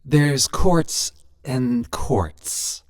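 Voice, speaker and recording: A man voicing a suave, sinister stranger who collects souls, talking smoothly and businesslike, a little pious and simpering. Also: medium pitch, sing-song, extremely slow. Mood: smooth menacing.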